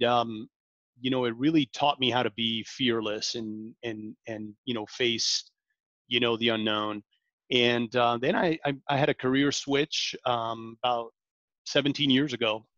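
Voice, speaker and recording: A man in his forties from Venezuela, a sales director talking as a podcast guest, halting often with ums and restarts. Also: medium pitch, fairly even intonation, fairly bright tone, no echo, muffled recording. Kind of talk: interview conversation.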